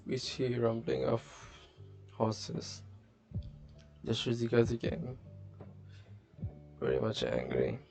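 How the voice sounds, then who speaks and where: Male streamer, heavily gravelly voice, unhurried and halting casual read-along, music underneath. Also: medium pitch, sing-song, darkish tone. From Bangladesh. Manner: halting, loosely paraphrasing as he reads. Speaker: streamer reading manga aloud.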